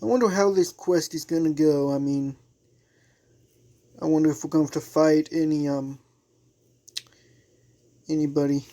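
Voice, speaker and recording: A man's voice: a little high, steady tone, moderate pace, long pauses, warm tone. A man giving casual let's play commentary, musing idly to himself. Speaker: game streamer.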